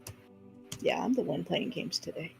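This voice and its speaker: A woman with a low voice full of vocal fry joins a livestream chat. She talks casually, in an offhand and teasing way, over background music. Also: expressive, normal speed. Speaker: streamer's companion, playing games. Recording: music playing under the voice.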